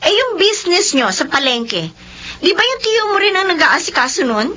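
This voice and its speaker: A woman's voice: very high-pitched, sing-song, normal speed, pushed and bright, full character voice. An actress playing a gossipy, loyal female friend, indignant on her friend's behalf. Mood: indignant, nosy. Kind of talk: radio-drama acting. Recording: muffled lo-fi recording, faint hum.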